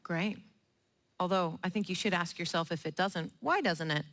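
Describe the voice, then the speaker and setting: A woman's voice: on the low side, normal speed, a bit bright. A woman moderating a live panel, thanking speakers and putting questions to them. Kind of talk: hosting a live discussion.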